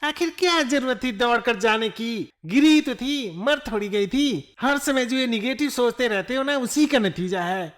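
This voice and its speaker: A man's voice: very high voice, expressive, measured pace, hardly any pauses, fairly bright tone, heavily acted. A man voicing a self-assured, impatient grown son in a cartoon family story. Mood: angry scolding.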